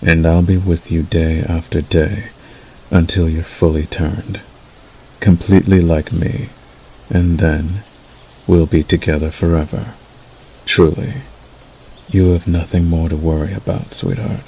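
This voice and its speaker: A man voicing a possessive werewolf lover in intimate ASMR roleplay, menacing yet tender, coaxing slowly and soothingly. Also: low voice, flat delivery, gravelly, husky, heavily acted, low-quality recording. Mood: tender, hushed. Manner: slow, soothing, coaxing with dark undertone.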